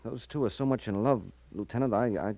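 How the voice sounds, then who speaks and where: An actor playing a worried, soft-hearted loyal friend, speaking earnestly and falteringly in old radio crime drama style. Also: male voice, mid-range voice, brisk, noisy background, natural voice. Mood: distressed. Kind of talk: 1940s-50s radio crime drama acting.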